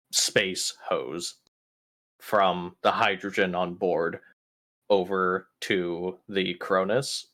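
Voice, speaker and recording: Lowish-voiced guy, casual tabletop player. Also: a bit bright. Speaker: player in a tabletop roleplaying game. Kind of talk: casual chat and in-character banter.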